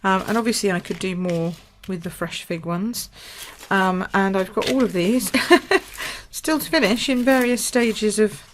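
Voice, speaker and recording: A woman from the UK, slightly croaky, demonstrating a papercraft project at her table in a chatty, think-aloud way. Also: mid-range voice, a little slow, slight background noise. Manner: chatty, thinks aloud with fillers and small apologies. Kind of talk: casual craft tutorial. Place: indoors at a craft table.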